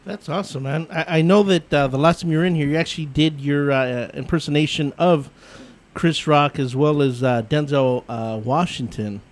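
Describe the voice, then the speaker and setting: A man's voice: fairly high pitch, normal speed. A man hosting a radio talk show, eagerly praising and questioning a guest. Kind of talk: casual radio interview.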